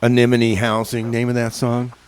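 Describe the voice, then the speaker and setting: A man's voice: medium pitch, monotone. Easygoing man DJ on community radio. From New Mexico, USA. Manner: rambling, easygoing, halting with fillers. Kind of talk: casual radio chat between songs.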